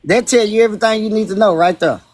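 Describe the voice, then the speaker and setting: A man with a strikingly high voice, talking straight and close to the mic with no room sound. He presses his points hard, repeats himself and swears without pause, in a heated casual chat. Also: darkish tone, no echo. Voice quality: high-pitched voice. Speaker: guest on a live stream.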